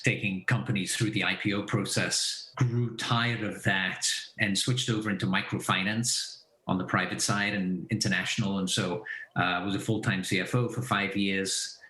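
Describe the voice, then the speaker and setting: A man's voice: low-pitched, sharp tone. A man from Belgium giving conversational interview answers as a company founder and finance advisor. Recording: roomy echo.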